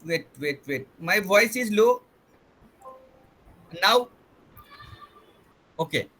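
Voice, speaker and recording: High, bright-voiced host. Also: male voice, expressive, extremely slow. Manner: earnest, repeats and rephrases himself. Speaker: webinar host. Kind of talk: formal online introduction. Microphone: video call.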